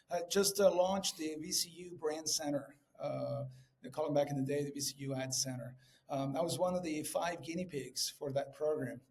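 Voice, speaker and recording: A middle-aged man from Colombia telling his career story in a casual interview, animated with frequent fillers. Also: fairly high pitch. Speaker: podcast guest, creative director.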